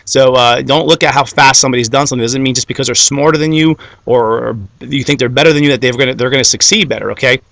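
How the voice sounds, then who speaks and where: A man's voice: medium pitch, steady tone, fast, gravelly, hardly any pauses, a bit bright, dry recording. A young man whose first language was Greek, giving a motivational talk that keeps checking in with "okay?" and builds to a fired-up finish. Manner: repeats "okay?" and "guys", builds to rising fired-up climax. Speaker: motivational speaker.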